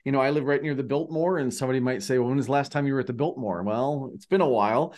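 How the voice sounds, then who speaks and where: A man's voice: mid-range voice, rapid-fire, darkish tone. American man, casual podcast co-host. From United States. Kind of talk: casual conversational podcast talk.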